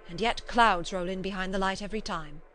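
Woman performing an effusive old foreign gentleman doctor. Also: medium pitch, a little fast, faint music behind, close to an everyday voice. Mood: effusive, solemn. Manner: effusive, emphatic, formal broken English. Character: kindly old foreign male doctor, effusive, solemn, courtly.

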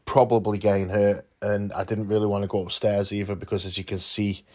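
Low, even-toned man explaining. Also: a little fast. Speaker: urban exploration vlogger. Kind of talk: explanatory voice-over.

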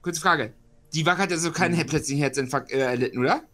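Bright, higher-pitched man chatting. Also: quick pace. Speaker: player. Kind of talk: casual chat at an online tabletop game.

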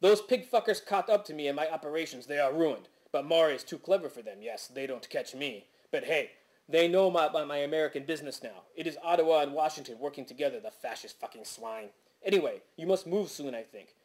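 A man's voice: on the high side, fairly quick, a little mellow, lightly acted. Man doing a brash, ranting fake-Quebecois landlord. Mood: agitated, paranoid. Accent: exaggerated fake Quebecois French. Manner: ranting in broken English, referring to himself in third person. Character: brash, paranoid, foul-mouthed French-Canadian landlord man.

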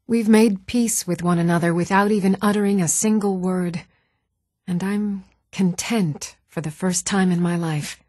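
An actress playing an earnest, even-toned woman in a fantasy video game. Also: lowish voice, measured pace, no room sound, clean studio sound. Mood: solemn, sincere. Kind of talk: video game character dialogue.

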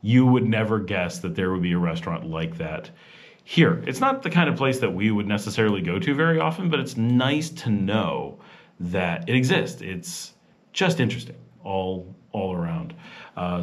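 Vlog host with a lowish voice. Relaxed, rambling voice-over narration full of fillers and restarts. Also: male voice. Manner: chatty and informal. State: rushed. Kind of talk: casual voice-over narration of a walking tour.

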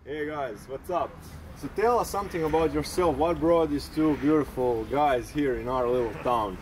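A young Croatian man with a somewhat high voice cracks deadpan jokes as he interviews people outdoors. He is picked up from a little way off the mic, and traffic and street noise are heard behind him. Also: moderate pace, recorded from a distance, rough recording. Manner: teasing, mock-serious jokes. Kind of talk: joking vox-pop interview. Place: outdoors in a town park.

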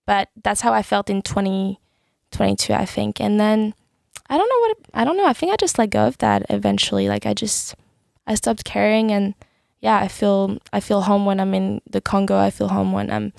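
A woman's voice: mid-range voice, big pitch swings, moderate pace, vocal fry, darkish tone, no echo, clean studio sound. A young woman from Switzerland with a Swiss French accent, chatting as a guest on a podcast, laughing often and trailing off with "yeah". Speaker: guest singer-songwriter. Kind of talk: casual podcast chat.

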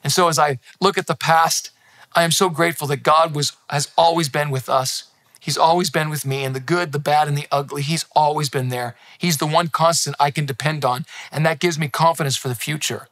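A man's voice: fairly high pitch, a little fast. A man in his fifties, a pastor grown up in Thailand, giving an earnest, encouraging sermon from a living room. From grew up in Thailand, now in Canada. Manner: earnest, encouraging, animated hand gestures. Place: living room with Christmas decor, indoors. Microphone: close camera, seated.

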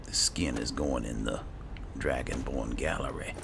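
A man with a gravelly voice giving casual, low-key commentary while playing a fantasy game, musing aloud as he goes. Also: steady tone, unhurried, noisy background. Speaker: gaming host. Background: game ambience.

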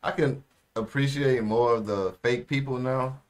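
Young american dude doing casual anime reaction talk, lots of slang. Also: male voice, mid-range voice, fairly even intonation, unhurried. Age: young adult. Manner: slangy, informal. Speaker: anime reaction video host. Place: small indoor room, seated.

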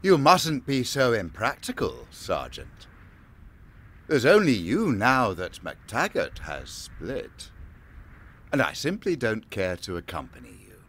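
A man's voice: fairly low pitch, a bit bright, slight background noise, heavily acted. A man playing a mocking, menacing pagan lord in an audio drama, with an urbane, theatrical, condescending manner. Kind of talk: full-cast audio drama acting.

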